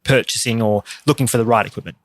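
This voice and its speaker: A man's voice: mid-range voice, fast talker, no echo, clean studio sound. An Australian man chatting casually as a podcast guest, with a modest, slightly hesitant manner. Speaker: podcast guest, competition barista.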